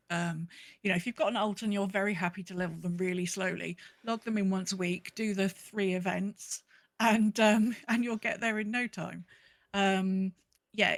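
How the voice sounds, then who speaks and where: A woman's voice: medium pitch, creaky, no room sound. Informal woman chatting on a podcast, dry sound. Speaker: podcast co-host. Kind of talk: casual gaming podcast chat.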